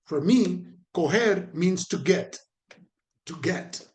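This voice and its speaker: A man's voice: sits high, animated, slow pace, a little mellow. Caribbean-accented man teaching a training. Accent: Caribbean Spanish. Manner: conversational, asks the audience questions, repeats for emphasis. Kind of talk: online training talk.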